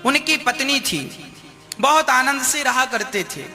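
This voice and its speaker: Male storyteller, very high bright voice, acts out moral-tale dialogue, reverberant hall. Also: expressive, measured pace. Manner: acts out dialogue with colloquial repetition. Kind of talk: animated oral moral storytelling to listeners. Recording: echoey hall, long reverb, faint music.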